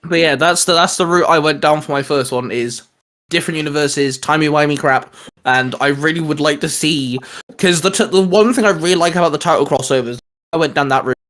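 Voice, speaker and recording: A man's voice: on the high side, fairly quick, a bit bright. A man co-hosting a geek show in casual chat, talking in an enthusiastic, rambling way with some casual swearing. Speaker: co-host of a geek discussion show. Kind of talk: casual chat about comics.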